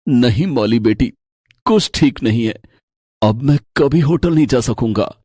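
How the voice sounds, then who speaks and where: A man's voice: mid-range voice, lively intonation, unhurried, mellow, breathy edge, no echo, clean studio sound. A man playing a poor, loving working father in a moral story, speaking tenderly, plainly and earnestly. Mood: troubled, sad. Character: poor, loving father, a troubled hotel waiter. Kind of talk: Hindi moral story voice acting.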